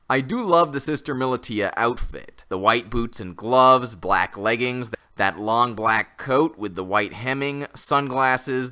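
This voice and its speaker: A man's voice: mid-range voice, pushed and bright. A man giving an opinionated, conversational review commentary, critical and semi-scripted. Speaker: host of a comic review channel.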